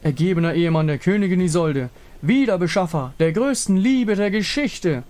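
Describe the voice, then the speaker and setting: A man voicing a grandiose, lovesick undead villain king in a slow, theatrical, menacing manner. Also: high voice, expressive, a little mellow, voice pushed up. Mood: haughty, menacing. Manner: slow, drawn-out, theatrical, pompous.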